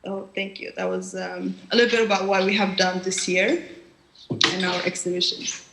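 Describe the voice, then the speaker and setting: A woman presenting an institution's exhibition program at a panel, often pausing with fillers and restarting words. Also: mid-range voice, level tone, gravelly, echoey room, background noise. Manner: hesitant, frequent fillers and restarts.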